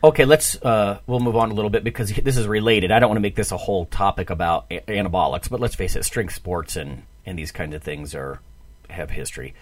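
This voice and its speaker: A man's voice: on the low side, a little fast, dry recording. A man in his forties hosting a podcast, talking casually about fitness. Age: 40s. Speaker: podcast host.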